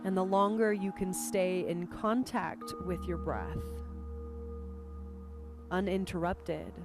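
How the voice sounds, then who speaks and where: A woman leading a guided meditation as a teacher, speaking gently and unhurriedly with pauses between phrases. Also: on the low side. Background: music.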